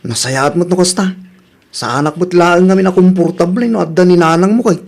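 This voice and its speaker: High-voiced young boyfriend. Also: male voice, fairly quick, creaky, a little mellow. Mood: casual. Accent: Ilocano. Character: young live-in boyfriend, self-interested. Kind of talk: Ilocano radio drama acting.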